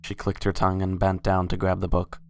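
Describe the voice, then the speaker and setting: A woman's voice: rumbling low, monotone, a little fast, a little mellow. A woman reading scripted story narration as the narrator of a dramatic reading.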